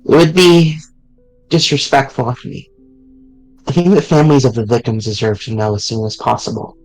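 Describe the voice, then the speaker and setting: A loyal, eager young man from a fan-made game, read by a man in a mid-pitched voice. His lines run casual and blunt with a bit of swearing, and faint music plays underneath. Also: steady tone, normal speed, darkish tone, lightly acted, rough recording. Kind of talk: reading a visual novel aloud on stream.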